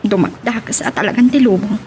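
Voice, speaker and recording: A woman's voice: medium pitch, brisk, mellow, soft background music, natural voice. A woman playing a caring, worrying older female figure in an Ilocano audio drama, with an Ilocano accent. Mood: sympathetic. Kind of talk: Ilocano radio-style drama acting. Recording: noisy ambience behind the voice.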